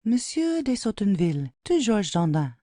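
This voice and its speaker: Mellow woman narrator, lively intonation. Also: mid-range voice, moderate pace, no room sound, studio quality. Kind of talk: scripted reading of stage directions.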